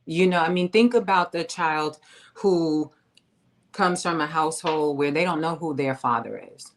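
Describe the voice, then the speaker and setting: A woman host from New with a low voice. She speaks in a lively, emphatic and slangy way, leaning into her points in casual conversation. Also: normal speed. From New York. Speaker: podcast host.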